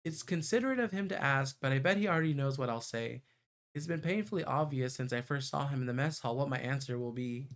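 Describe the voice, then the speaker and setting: A man's voice: mid-range voice, quick pace. A man streaming a visual novel, reading its narration aloud and reacting live. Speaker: game streamer. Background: game music.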